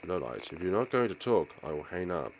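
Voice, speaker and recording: A man acts out a creepy male teacher from a horror visual novel, fairly low in pitch. He is possessive and impatient, and his intonation slides up and down. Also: big pitch swings, a little fast, creaky, darkish tone, slight background noise, exaggerated voice, rough recording.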